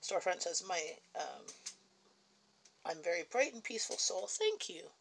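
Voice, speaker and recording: A woman's voice: lowish voice, big pitch swings, moderate pace, creaky, slight background noise. A Canadian woman with a Canadian English accent chatting casually at home in her kitchen on a livestream, reading viewer comments aloud, answering them and laughing between remarks. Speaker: livestream host.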